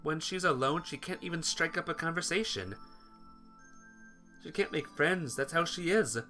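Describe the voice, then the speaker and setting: A man's voice: on the high side, expressive, moderate pace, pushed and bright, faint music behind. A man reading visual novel text aloud as dramatic, earnest, emotive story narration for a playthrough.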